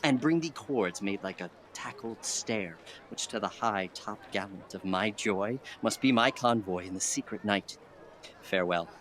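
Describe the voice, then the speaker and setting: A man's voice: on the low side, measured pace, a bit bright. A man acting an earnest, courteous young nobleman in love, in formal classical Shakespearean stage style. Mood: hopeful, urgent.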